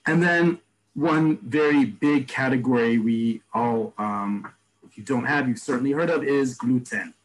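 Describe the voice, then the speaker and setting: A man teaching an online class, explaining terms and repeating each word slowly. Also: mid-range voice, slight background noise, rough recording. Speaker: Hebrew teacher. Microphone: video call.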